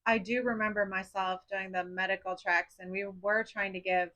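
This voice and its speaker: A woman hosts a podcast in a conversational way. Her voice sits at an average pitch for a woman, and she speaks as an interviewer in discussion with a guest. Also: flat delivery, moderate pace, bright, forceful tone. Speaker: podcast host.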